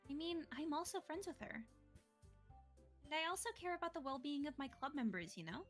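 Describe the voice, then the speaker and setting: Girl streamer doing anime club president, high sweet schoolgirl, gentle n coy, very up and down. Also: expressive, brisk, soft background music. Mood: concerned, gentle. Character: friendly, caring teenage girl, club president. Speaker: guest player voicing a game character. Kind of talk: amateur visual novel voice acting.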